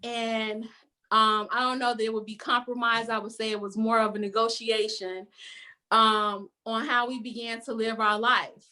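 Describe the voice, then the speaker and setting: A woman in her thirties from Chicago's west side with a Chicago accent, sharing her story reflectively on a video call panel, with many "um" pauses. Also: fairly high pitch, level tone, pushed and bright.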